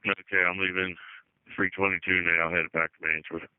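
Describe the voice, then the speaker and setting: Low clear-voiced man on tinny radio. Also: little pitch movement, normal speed, no echo. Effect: two-way radio. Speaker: road crew truck driver. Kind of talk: two-way radio check-ins. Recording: tinny narrowband radio transmission.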